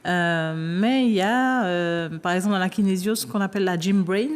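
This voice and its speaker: A woman therapist, a guest on a talk show, explaining her work calmly with some hesitant fillers. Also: medium pitch, moderate pace, clear, resonant tone. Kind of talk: interview answers.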